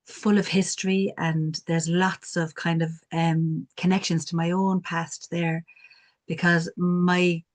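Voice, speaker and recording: A woman's voice: on the low side, little pitch movement, takes it slowly. A woman from Cork with a strong Cork Irish accent, telling reflective stories on a video call panel. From Cork, Ireland. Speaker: community development worker. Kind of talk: online panel talk.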